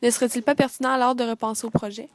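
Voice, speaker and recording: A woman reading scripted documentary narration in a clear voice. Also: on the high side, little pitch movement, very fast, soft background music.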